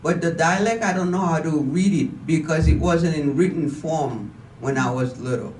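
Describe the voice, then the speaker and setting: A woman's voice: sits low, moderate pace, a little mellow. A woman from Haiti, speaking plainly and earnestly in a class. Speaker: class member. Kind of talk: casual conversation. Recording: echoey room, distant mic, noise.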